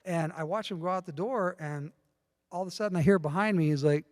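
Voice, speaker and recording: Middle-aged man, lively storyteller, reverberant room. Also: high voice, fairly quick. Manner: lively storyteller who acts out dialogue. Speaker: interview guest. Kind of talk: casual storytelling interview.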